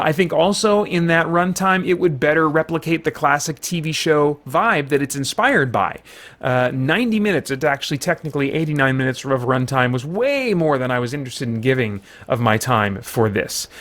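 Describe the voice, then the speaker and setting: A man's voice: fairly high pitch, a bit bright. A man talking through a film review in a casual, conversational way, rambling a little as he explains his opinions. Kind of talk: casual spoken movie review.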